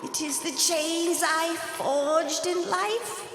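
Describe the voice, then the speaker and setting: A woman playing the solemn, mournful, chained ghost of a dead business partner in radio theater, with drawn-out theatrical delivery. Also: very high voice, steady tone, background noise. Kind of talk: live radio theater acting. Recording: long echoing reverb, loud music behind.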